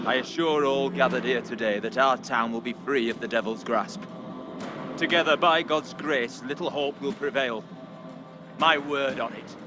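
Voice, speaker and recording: An actor playing a self-righteous, accusing Puritan reverend in a video game, preaching in sanctimonious, archaic oratory. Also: male voice, mid-range voice, normal speed, music in the background, lightly acted. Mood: solemn, assuring. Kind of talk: dramatic video game acting.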